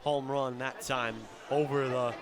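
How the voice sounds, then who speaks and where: A man calling live baseball play-by-play for radio in a fluent, descriptive style that can build to shouts on big plays. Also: medium pitch, little pitch movement, a little slow. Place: outdoor baseball stadium broadcast position. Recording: live ballpark with crowd noise behind. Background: crowd noise.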